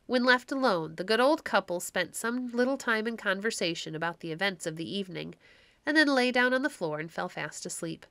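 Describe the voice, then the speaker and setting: A woman's voice: medium pitch, lively intonation, brisk, pushed and bright, no echo. A woman narrator reading a classic tale aloud in a scripted storybook style.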